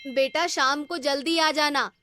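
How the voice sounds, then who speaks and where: A woman's voice: high voice, fairly even intonation, unhurried, pushed and bright. A woman voicing an affectionate, devout village mother in a children's cartoon story. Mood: gentle instruction.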